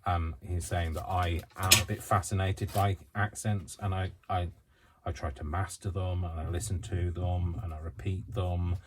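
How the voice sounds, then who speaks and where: A British man from the UK chatting through a crafting tutorial at home, rambling, self-deprecating and quick to giggle. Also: low voice, little pitch movement, heavy background noise. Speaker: craft vlogger.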